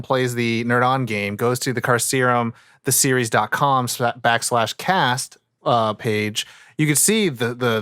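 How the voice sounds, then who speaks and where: Male interviewer for a chatty podcast, mid-range pitch, smooth clean voice, dry close recording. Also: fairly bright tone, dry recording. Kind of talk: casual podcast chat.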